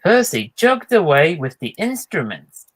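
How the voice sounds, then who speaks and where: A man's voice: fairly high pitch, big pitch swings, unhurried, a bit bright. A man reading a children's storybook aloud as a narrator. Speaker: storybook reader.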